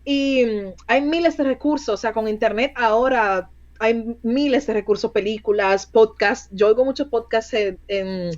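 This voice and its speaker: A woman speaking as a bilingual recruiter in a casual interview, lively and quick to laugh. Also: a little high, dull audio. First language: Spanish. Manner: lively, laughs often, chatty with many restarts.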